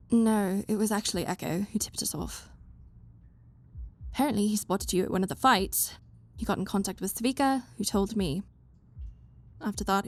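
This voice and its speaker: A woman's voice: mid-range voice, natural voice. A woman voicing a stern, bossy but caring young woman who scolds someone she cares about. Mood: gentle. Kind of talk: fan audio roleplay.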